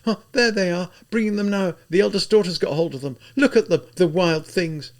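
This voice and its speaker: A man voicing a pious woman in a street crowd, exclaiming excitedly. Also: high-pitched, brisk, pitched above the performer's own voice.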